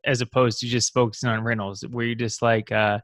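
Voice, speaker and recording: A man hosting a podcast, chatting in a relaxed, agreeable way with his guest. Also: mid-range voice, a little fast, no echo, clean studio sound. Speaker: podcast host. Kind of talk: casual interview chat.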